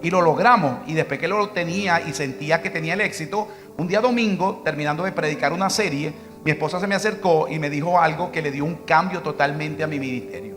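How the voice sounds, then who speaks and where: Venezuelan pastor guy, middle-aged, talks quick and a bit high, tells stories in a sermon, soft music underneath. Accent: Venezuelan Spanish. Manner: storytelling, quoting his wife in dialogue. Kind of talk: sermon with personal story.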